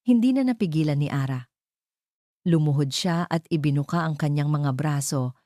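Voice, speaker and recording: Low-voiced woman narrating a sentimental story. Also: normal speed, dark tone, dry recording, clean studio sound. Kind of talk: scripted dramatic romance story narration.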